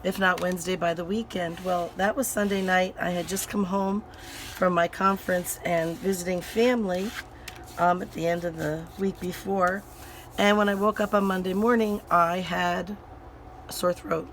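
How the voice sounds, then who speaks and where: A woman hosting a crafting channel and chatting casually while she works. Her voice is hoarse and still recovering, and she rambles with many fillers and restarts. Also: lowish voice, steady tone, normal speed, a little hiss or hum. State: hoarse, voice recovering from sinus infection.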